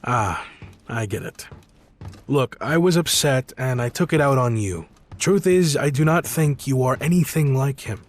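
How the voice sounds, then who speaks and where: An actor playing a weary, gruff but fair squad leader in a video game, with formal, measured phrasing. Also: male voice, medium pitch, lively intonation, close to an everyday voice. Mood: grudging, conciliatory.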